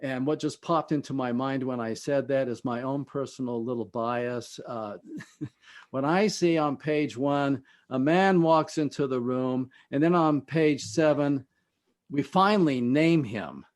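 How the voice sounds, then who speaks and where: A man speaking as an expert guest in an interview, explaining in a halting, conversational way and often trailing off at the ends of phrases. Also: mid-range voice.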